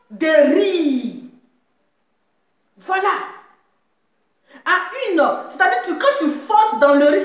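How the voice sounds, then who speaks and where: Scolding high-voiced woman, very echoey room. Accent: Central African French. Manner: scolding, laughing, repeats phrases. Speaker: live-stream blogger. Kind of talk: mocking live-stream rant.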